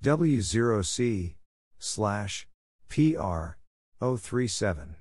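Low-pitched man reading out radio call signs slowly. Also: sing-song, a little mellow, no room sound, studio quality. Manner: deliberate, clipped phrases, spelling out call signs. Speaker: Morse code practice narrator. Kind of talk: scripted instructional readout of radio exchanges.

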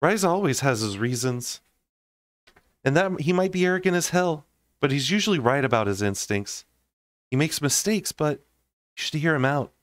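A man's voice: a little high, expressive, moderate pace, long pauses, no room sound, pitched above the performer's own voice, lightly acted. A man acts out a sassy young woman from a fantasy storybook. The delivery is blunt, teasing and emphatic, with wry humor. The sound is dry, with very little room. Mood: frank, teasing.

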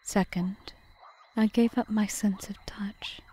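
A woman playing a calm, calculating forest witch in an audio roleplay, speaking in a measured, formal, often whispery way. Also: medium pitch, breathy edge. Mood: solemn, wistful. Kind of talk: ASMR roleplay monologue.

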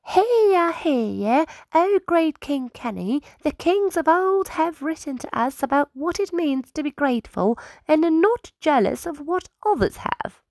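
A woman's voice: high-pitched, animated, measured pace, few pauses, darkish tone, no room sound, voice pushed up. A woman voicing a small, bold, earnest squirrel royal scribe who proclaims and moralizes in a children's storybook. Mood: proclaiming. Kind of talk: children's storybook read-aloud.

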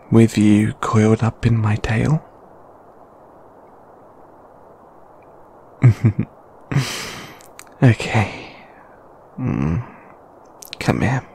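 A British man voicing a shy, flustered, affectionate male lamia flatmate in a halting, intimate audio roleplay. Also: mid-range voice, gravelly, slight background noise. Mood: loving, tender. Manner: halting, bashful, stammering.

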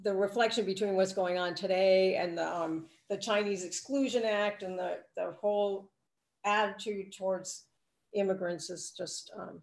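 An older woman, a film director, recalling the making of her film in a relaxed Q&A over a video call from her living room, acting out what people said. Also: mid-range voice, moderate pace, gravelly. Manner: chatty, acts out quoted lines. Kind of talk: casual Q&A storytelling. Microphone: laptop webcam on a video call. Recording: roomy video call audio.

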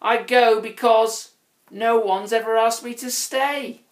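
A man's voice: extremely high pitch, fairly even intonation, slow, reverberant, voice pushed up. A man voicing a small, cheeky, scruffy troll in an informal storybook character voice. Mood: wistful.

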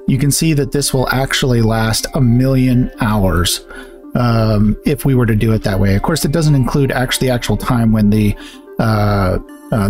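A man's voice: mid-range voice, a little mellow. A man hosting a hobby tutorial, explaining things step by step in a plain-spoken way. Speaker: host of an electronics hobby channel.

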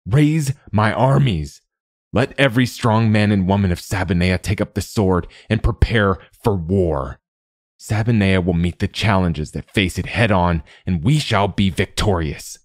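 A man's voice: a bass voice, animated, normal speed, few pauses, dark tone, dry recording, studio quality. A man voicing an eager, ambitious young prince turned king in a storybook, with a declamatory, commanding manner. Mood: proud, rousing.

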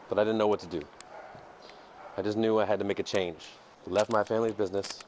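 A man in his thirties talks in a fairly low voice while he walks down a city street. He speaks candidly and reflectively, with plenty of hesitations. His earphone mic also picks up clear street noise and passing traffic. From United States. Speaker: dance teacher and vlogger. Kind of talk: casual walking vlog monologue. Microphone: earphone headset mic, phone at arm's length. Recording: noisy outdoor street recording. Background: street ambience and passing vehicles.

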